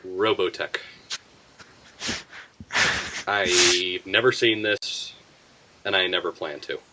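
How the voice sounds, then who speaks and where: A man's voice: deep voice, steady tone, a little slow, background noise. A man co-hosting a casual group commentary, giving blunt, opinionated takes on a list.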